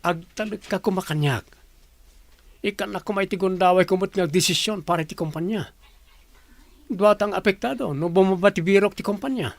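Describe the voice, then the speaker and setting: A man's voice: sits high, moderate pace, creaky, no echo. A man playing the boss's earnest friend, persuading him in a radio-style drama. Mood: pleading.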